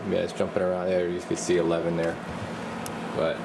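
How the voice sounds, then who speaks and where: Male technician, deep-ish fry-edged voice, informal demo narration, noticeable background hum. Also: monotone, fairly quick. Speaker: radio repair technician demonstrating his work. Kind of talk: casual hands-on bench demonstration. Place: indoor radio workbench.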